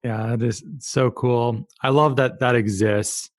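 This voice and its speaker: A man's voice: medium pitch, steady tone, unhurried, no echo. Enthusiastic man hosting, dry close sound. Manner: enthusiastic, joking, long searching questions. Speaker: podcast host. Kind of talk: conversational interview.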